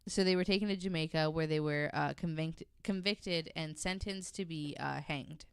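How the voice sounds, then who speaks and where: A woman's voice: deep voice, moderate pace, clear, resonant tone, no echo, clean studio sound. A woman telling a story in casual, chatty conversation, informal and given to jokey asides. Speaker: podcast guest panelist telling a story.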